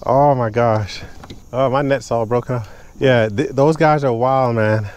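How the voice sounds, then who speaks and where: A young man vlogging outdoors from a kayak on a lake, talking excitedly to the camera while fishing, recorded on a body-worn action camera. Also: mid-range voice, a little slow, clear, resonant tone.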